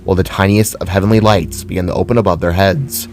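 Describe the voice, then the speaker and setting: Low-pitched man narrating a story. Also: fairly even intonation, quick pace, faint music behind. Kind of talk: scripted dramatic story narration.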